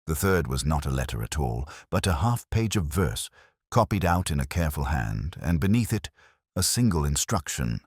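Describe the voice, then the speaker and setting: A man playing a brooding, erudite middle-aged detective who narrates a mystery in measured, literary phrases. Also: very deep voice, very animated, breathy edge, no echo, clean studio sound, heavily acted. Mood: brooding, reflective. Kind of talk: scripted first-person mystery narration, audio drama.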